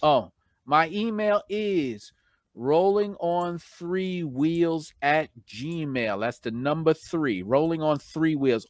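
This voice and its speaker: Chatty man live streaming, higher-pitched voice. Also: dry recording, clean studio sound. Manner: chatty, slangy, informal shout-outs. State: slightly hoarse from long talking. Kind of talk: casual live stream chat, thanking viewers.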